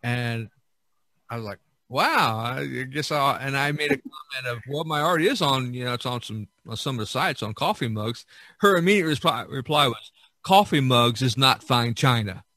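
A man's voice: mid-range voice, lively intonation, moderate pace, sharp tone, no echo. A man chatting casually as a podcast host, reminiscing in a rambling way that repeats and circles back.

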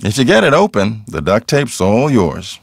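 A man's voice: on the low side, huge pitch swings, moderate pace, gravelly, husky, dry recording, studio quality, heavily acted. A man playing a cocky, earnest TV ghost hunter in a video game, explaining things in a theatrical, self-assured way.

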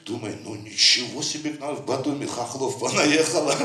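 A man's voice: mid-range voice, brisk, bright, forceful tone. A man presenting his poetry book, reciting verse with a rhythmic cadence and chatting loosely between poems. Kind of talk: poetry reading with anecdotes. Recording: echoey room, voice from a distant mic.

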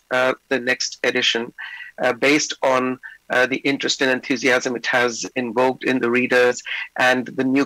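Slightly hoarse man lecturing over video call. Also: medium pitch, bright, forceful tone, no echo, low-quality recording. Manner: measured, many fillers. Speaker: invited lecturer. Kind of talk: remote conference lecture. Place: indoor office.

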